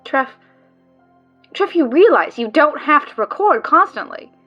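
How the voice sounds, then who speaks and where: High, lively woman voicing a sarcastic friend, exasperated, dull lo-fi audio. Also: measured pace, faint music behind. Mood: deadpan exasperated. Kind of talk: audio drama acting.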